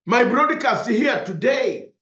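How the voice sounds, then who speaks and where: A man's voice: very high-pitched, expressive, slow, fairly bright tone. A man from Uganda, a broadcaster, giving an impassioned political speech with emphatic repetition. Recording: roomy, slightly dull sound.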